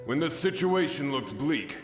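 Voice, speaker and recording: A man's voice: a little high, lively intonation, a little slow. A man voicing a devoted, hopeful samurai soldier with an earnest, rousing, formal delivery in an anime video game. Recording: roomy echo, music underneath.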